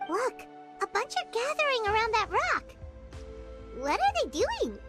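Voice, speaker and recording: Tiny girlish game fairy, piercingly high, squeaky, swooping, childish, fretful, music behind. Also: wildly swooping pitch, measured pace, full character voice. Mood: curious. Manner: childish, talks in third person. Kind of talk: video game voice acting.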